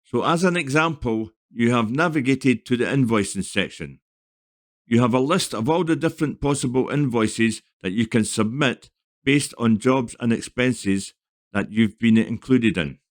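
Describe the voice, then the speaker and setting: A man narrating a scripted software tutorial in an explanatory, step-by-step manner. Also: medium pitch, animated, moderate pace.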